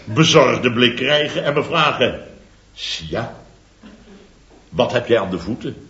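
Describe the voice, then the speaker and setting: A boastful contractor spinning a tale. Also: male voice, mid-range voice, animated, takes it slowly, bright, forceful tone, echoey room, highs cut off. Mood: mocking. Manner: chatty, theatrical, laughing while telling. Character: boastful middle-aged male contractor, comic storyteller. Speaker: building contractor telling a story. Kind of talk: 1970s radio comedy serial acting.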